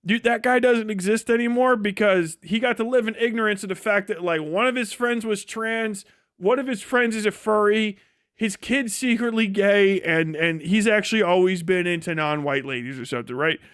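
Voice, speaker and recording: A man ranting casually on a livestream as its host, profane and sarcastic, acting out imagined dialogue in an animated way. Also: high voice, normal speed, clear, resonant tone.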